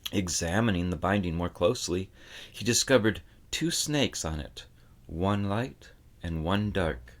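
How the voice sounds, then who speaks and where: Man, lowish voice, audiobook narrator, scripted storybook reading, dramatic deliberate phrasing. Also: lively intonation. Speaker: audiobook reader.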